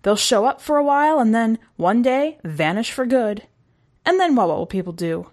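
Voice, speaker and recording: A woman's voice: a little high, lively intonation, normal speed, darkish tone, close to an everyday voice. Woman reading as a blunt, dismissive no-nonsense mom. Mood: skeptical. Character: blunt, skeptical, no-nonsense working mother. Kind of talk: storybook character dialogue.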